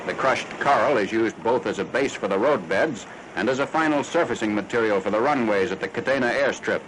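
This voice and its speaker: An American man reading scripted 1940s military newsreel narration in a formal, factual, measured announcer style. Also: on the low side. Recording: noisy 1940s newsreel film soundtrack.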